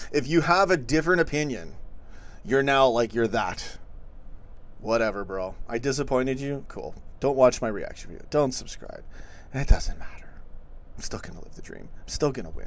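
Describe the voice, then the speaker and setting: A man hosting a livestream, reading chat comments aloud and arguing back in a casual, combative way. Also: medium pitch. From not yet been to Liverpool. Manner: argumentative, reacts to chat comments, laughs often.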